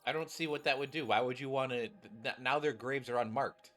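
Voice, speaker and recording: A man's voice: mid-range voice, brisk, fairly bright tone, soft background music. A man giving casual, blunt reaction commentary as a game streamer, grumbling and swearing as he goes.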